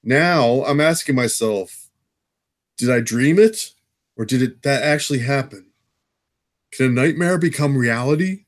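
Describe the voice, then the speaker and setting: A man's voice: mid-range voice, unhurried, pauses often, close to an everyday voice. A man playing a troubled, haunted castaway, giving an earnest and brooding audio drama monologue. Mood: brooding, wondering. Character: troubled male castaway haunted by vivid dreams.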